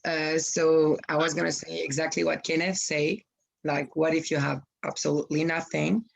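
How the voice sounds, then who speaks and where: Husky-voiced young woman. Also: sits low, level tone, low-quality recording. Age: 20s. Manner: hesitant, halting with restarts. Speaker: acting student. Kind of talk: asking questions in class.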